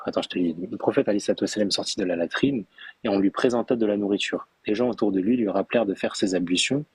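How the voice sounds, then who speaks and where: A man's voice: on the low side, breathy edge, dry recording, rough recording. A man in a heated online debate, insistent and interrupting, demanding sources over a voice call.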